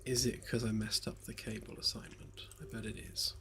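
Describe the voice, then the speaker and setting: A man developing a game on a live stream, chatting casually as he works, slightly hoarse and rambling with lots of fillers. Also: on the low side, flat delivery, moderate pace, a bit bright, slight background noise. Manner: rambling, hesitant, many fillers, self-deprecating jokes. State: slightly hoarse, losing his voice. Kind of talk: relaxed live-stream chat while working. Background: faint lo-fi background music.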